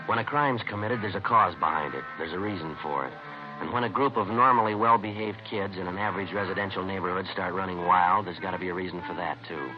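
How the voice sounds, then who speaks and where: An actor playing a terse, matter-of-fact American police detective sergeant in a 1950s radio crime drama, clipped and deadpan. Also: male voice, low voice, lightly acted. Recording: muffled old radio recording, music behind.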